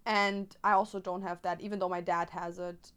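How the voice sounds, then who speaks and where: A woman's voice: fairly low pitch, level tone, moderate pace, fairly bright tone, clear, resonant tone. A young woman from Germany, a native Spanish speaker, chatting casually and rambling with lots of fillers while she crafts on stream.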